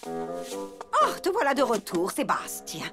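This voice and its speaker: A fussy, doting cartoon mother. She is high-pitched and very animated, with an affected way of talking and music behind. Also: slow, exaggerated voice. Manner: fussing, affected. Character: fussy doting mother, admirer of fine cuisine. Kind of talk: children's cartoon dubbing. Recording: music under the voice.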